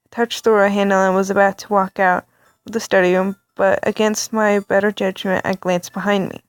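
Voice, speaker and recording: A woman reading a story game aloud, with animated reactions and stammered asides. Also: medium pitch, fairly quick, smooth, no room sound. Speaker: let's play reader.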